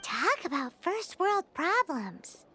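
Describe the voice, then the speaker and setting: A woman voicing a tiny, childlike, cheeky fairy sidekick in a video game, squeaky, blunt and expressive. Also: slow, soft background music, exaggerated voice. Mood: exasperated.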